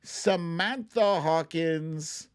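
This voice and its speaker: A man's voice: fairly high pitch, extremely slow, clean tone. A man hosting a live online guessing game, chatting casually and playfully with his audience in an informal way. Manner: playful, informal, chuckling between remarks. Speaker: livestream host running a song-guessing game. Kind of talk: casual live chat with audience, reading out viewer names.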